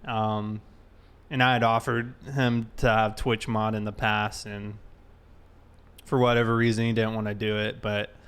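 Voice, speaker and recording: Clear-voiced man chatting on a game stream. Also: on the low side, flat delivery, measured pace, fairly bright tone. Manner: informal, thinking aloud while reading chat. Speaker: live streamer, host. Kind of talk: casual game livestream chat.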